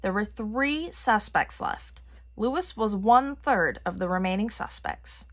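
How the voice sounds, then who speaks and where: A woman reads a children's picture book aloud at a slow, deliberate pace. Her delivery is expressive, with a lively, widely moving pitch. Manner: expressive, acting out characters' lines. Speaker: storybook reader.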